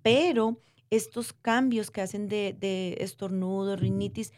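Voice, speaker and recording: A woman's voice: mid-range voice, little pitch movement, measured pace, darkish tone, no room sound. Woman doctor explaining in everyday terms, dry sound. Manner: didactic, uses diminutives and check-in questions. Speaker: doctor interviewed as guest expert. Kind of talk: conversational medical explanation for lay listeners.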